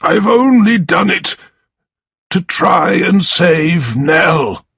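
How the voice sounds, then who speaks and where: A man voicing a desperate old grandfather in a storybook, wailing and pleading in a strained, husky, gravelly voice. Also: high-pitched, expressive, very slow, fairly bright tone, no echo, studio quality, pitched above the performer's own voice, heavily acted. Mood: defensive. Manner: wailing, imploring.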